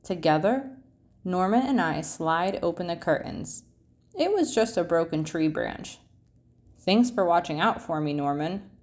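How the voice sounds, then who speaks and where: Woman, slightly low pitch, very slow pace, expressive storybook narration, drawn-out phrase endings. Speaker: picture book read-aloud narrator. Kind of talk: expressive children's storybook reading.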